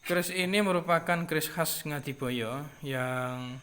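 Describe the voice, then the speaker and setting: A man in his thirties from East Java with a Madurese accent and a nasal voice, chatting casually to his viewers as a keris collector, unhurried and repeating himself. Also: a little high, background noise. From Jember, East Java, Indonesia. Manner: unhurried, repeats phrases, drops in soft filler sounds. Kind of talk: casual vlog talk to viewers.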